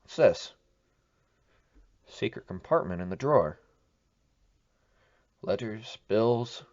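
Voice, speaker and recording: A man's voice: fairly low pitch, animated, takes it slowly, a little mellow, highs cut off. A man acting a weary, troubled amateur investigator in an audio drama, muttering to himself as he broods. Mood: pensive, searching.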